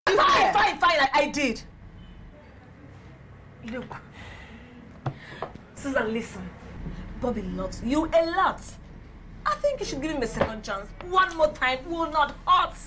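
An actress playing an excitable, indignant young woman in a film drama, emphatic and theatrical, repeating herself for effect. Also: sits high, slow pace, pauses often, background noise, full character voice. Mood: pleading.

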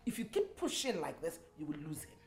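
A woman playing a mother who calms her upset daughter, offering gentle advice and reassurance. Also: sits low, brisk, roomy sound. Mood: advising. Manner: soothing, repetitive reassurance. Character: soothing middle-aged mother calming her daughter.

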